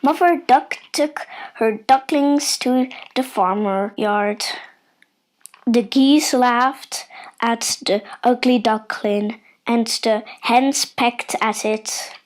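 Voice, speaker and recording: Very slow, high-voiced woman storyteller. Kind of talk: scripted children's bedtime story narration.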